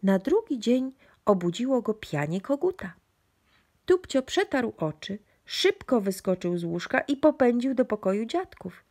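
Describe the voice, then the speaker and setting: A woman storyteller reading a children's bedtime book. Her delivery is expressive and swoops in pitch, in a breathy, husky voice with some creak at the edges. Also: measured pace. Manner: expressive, addressed to young children.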